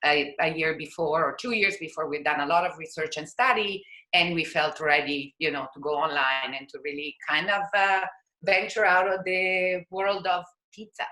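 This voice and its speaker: A middle-aged woman whose voice sits very low but sounds bright and sharp. She is a podcast guest who speaks carefully, pausing on fillers as she finds her words. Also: fairly even intonation. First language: Italian. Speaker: podcast guest, company founder. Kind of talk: casual interview chat.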